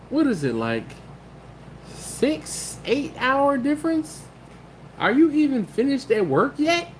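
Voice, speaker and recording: A man's voice: high-pitched, a little hiss or hum. A man hosting a casual livestream, reading chat comments aloud and rambling with laughs and restarts. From Maryland, US. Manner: rambling, laughs often, self-interrupting.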